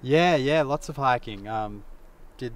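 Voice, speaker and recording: A man's voice: mid-range voice, unhurried, distant mic. A young man from Australia, laid-back and hesitant as he answers questions outdoors. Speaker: interviewed traveler. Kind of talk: casual interview. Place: outdoors by a mountain lake.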